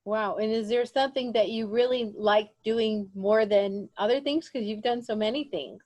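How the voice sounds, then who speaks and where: A woman's voice: fairly high pitch, fairly even intonation, normal speed, darkish tone, dry recording. A woman hosting an interview over a remote call, effusive and affirming, with many fillers. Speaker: podcast host. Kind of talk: interview conversation.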